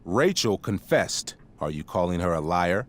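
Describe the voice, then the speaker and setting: An actor playing a stern, middle-aged high school principal with a measured, officious manner in a video game drama. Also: male voice, big pitch swings, a bit bright, exaggerated voice. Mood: firm, reproving.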